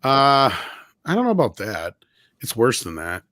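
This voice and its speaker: A man's voice: medium pitch, huge pitch swings, unhurried, bright, forceful tone, no echo, clean studio sound. A man hosting a casual live panel chat, talking off the cuff with viewers and co-hosts. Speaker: host.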